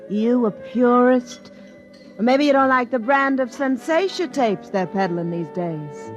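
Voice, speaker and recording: A woman's voice: a little high, lively intonation, a little slow. Dull old recording with music, jaded young woman at a bar, wry, streetwise, radio play. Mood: wary, teasing. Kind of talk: 1950s science-fiction radio drama acting.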